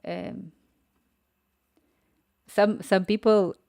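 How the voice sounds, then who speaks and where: A woman's voice: medium pitch, fairly even intonation, very slow, creaky, warm tone. A young woman with a Ukrainian accent, chatting casually to her viewers as she builds an app on a live stream, thinking aloud and answering chat. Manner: chatty, thinks aloud, reads chat messages and dictates prompts. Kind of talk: casual live-stream commentary while coding.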